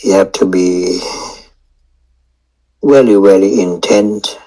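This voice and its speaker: Slow, low-voiced man guiding meditation. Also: rough recording. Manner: unhurried, gently repetitive, with long pauses. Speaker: meditation teacher. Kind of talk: guided meditation instruction.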